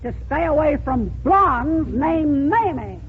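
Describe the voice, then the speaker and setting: A woman's voice: sits high, animated, slow, exaggerated voice. On a tinny, hissy old radio recording, a scolding comedy dame. Her delivery is dry and tart with swooping intonation, in 1940s style. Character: tart, scolding woman owed money.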